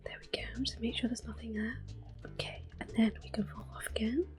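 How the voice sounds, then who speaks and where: A woman whispering soft, unhurried commentary as she plays through a video game for an ASMR channel. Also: fairly high pitch, steady tone, music in the background. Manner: soft, unhurried whisper with occasional soft-spoken words. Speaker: ASMR gameplay host.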